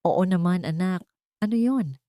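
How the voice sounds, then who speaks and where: A woman's voice: lowish voice, animated, moderate pace, mellow, no echo, lightly acted. A weary father in a dramatic audio story, as a woman narrator plays him. He is placating yet brusque and dismissive. The recording is dry and close.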